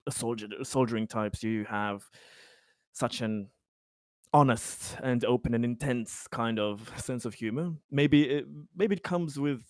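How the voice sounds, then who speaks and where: A man playing an affable, courteous corporate director of a colony in a tabletop game, chatting in a halting, polite way. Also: mid-range voice, no echo, natural voice. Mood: courteous, chatty.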